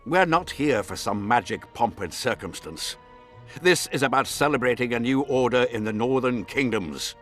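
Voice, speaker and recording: A man's voice: medium pitch, animated, normal speed, fairly bright tone, soft background music, natural voice. A man playing a haughty, scornful nobleman in a fantasy video game, with imperious, formal diction. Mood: stern, haughty. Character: haughty scornful nobleman, baron vying for a throne. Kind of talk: dramatic fantasy game acting.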